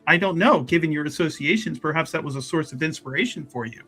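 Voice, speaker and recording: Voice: medium pitch, fast talker, bright, forceful tone, faint music behind. A player voicing a shrewd church investigator in tabletop roleplay, speaking formally and wordily with hesitant, politely needling phrasing. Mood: politely probing. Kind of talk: improvised in-character tabletop roleplay.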